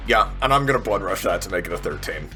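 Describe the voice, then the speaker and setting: Male tabletop player, lowish pitch, bright forceful tone, narrating combat moves. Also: lively intonation, quick pace. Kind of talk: narrating character combat actions. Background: music.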